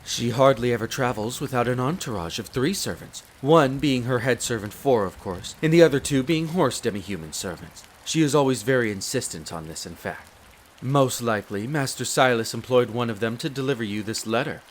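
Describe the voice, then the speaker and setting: A man voicing a courteous, devoted butler in an audio roleplay, speaking in a formal, polite and measured way straight to the listener. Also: medium pitch, natural voice. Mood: composed, explanatory. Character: courteous, devoted demi-human butler in a vampire's castle. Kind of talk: scripted roleplay addressed to the listener. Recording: rain noise behind the voice. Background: steady rain of a storm.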